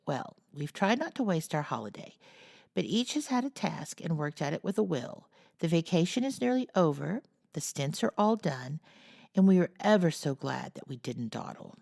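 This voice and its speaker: A woman's voice: deep voice, very animated, vocal fry, darkish tone, no echo, clean studio sound, heavily acted. A woman reading as a blunt outspoken teenage girl. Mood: explaining. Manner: blunt, brisk, defiant. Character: bold outspoken teenage girl, frank and hearty.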